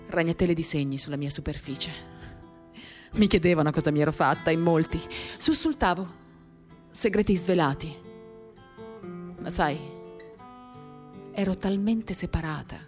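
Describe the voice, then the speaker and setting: A woman with a fairly low voice performs a dramatic reading of a novel. Her delivery is intimate and measured, broken into short phrases with pauses. An acoustic guitar plays faintly behind her. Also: a little mellow. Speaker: reader of a novel. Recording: guitar music under the voice.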